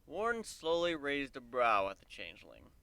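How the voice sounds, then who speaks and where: A man's voice: mid-range voice, huge pitch swings, normal speed, vocal fry, bright, forceful tone, clear, resonant tone, no room sound. A man narrating a scripted fantasy story as a dramatic reading.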